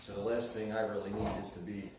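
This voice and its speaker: A soft-spoken man with a slight sniffle walks through a repair job in casual, rambling how-to talk, often correcting himself mid-sentence. His voice sits some way from the microphone in a very echoey room, and steady noise runs under it. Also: fairly low pitch, fast talker. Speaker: DIY restoration vlogger. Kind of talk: casual how-to narration while working. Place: living room of a house, working on a frame. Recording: echoey room, far from mic, noisy.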